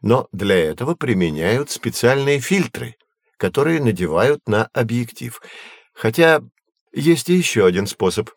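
An actor playing a learned, patient astronomy professor who explains things in a measured, didactic way in an educational audio drama. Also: male voice, medium pitch, sing-song, dry recording, studio quality. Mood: patient explaining. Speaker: teacher.

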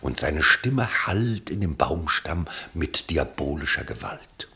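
Ein Mann liest als Erzähler eines Hörspiels einen literarischen Text mit dramatischem Ausdruck. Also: male voice, extremely low pitch, big pitch swings, takes it slowly, sharp tone. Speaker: narrator. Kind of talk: scripted radio play narration, literary and dramatic.

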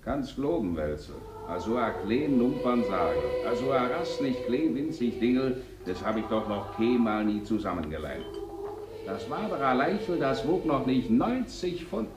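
A man's voice: mid-range voice, sing-song, moderate pace, recorded from a distance, close to an everyday voice. An actor playing a rustic village craftsman gossiping in a German radio drama, rambling and folksy in Silesian dialect. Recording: noisy, echoey room, off mic.

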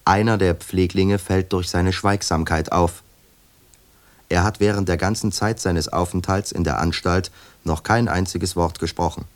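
A man's voice: deep voice, steady tone, unhurried. A man narrating a radio play in a sober, detached manner with long winding sentences.

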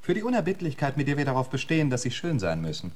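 An actor playing a witty, flirtatious English poet in a radio play, speaking in polished epigrams. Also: male voice, mid-range voice, huge pitch swings, rapid-fire, noisy background. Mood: suave, dry.

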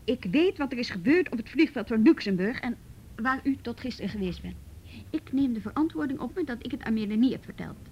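A woman's voice: a little high, animated, brisk, darkish tone, husky, lo-fi sound. An actress playing a frank, firm woman mediating in a radio drama, reasoning directly. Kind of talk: Dutch radio drama acting.

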